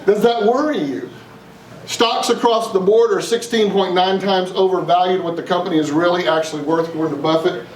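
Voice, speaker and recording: Homme texan à un séminaire. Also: male voice, high voice, fairly even intonation, fairly quick. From East Texas. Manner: folksy, rhetorical questions to the room. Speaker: investment speaker. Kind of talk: live seminar talk to an audience. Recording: roomy, distant, faint noise.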